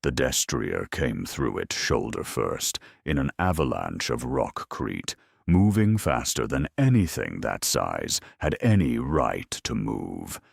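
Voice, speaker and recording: Extremely deep, gravelly male narrator. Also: dry recording, clean studio sound. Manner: deadpan, measured. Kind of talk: scripted dramatic narration with dry humour.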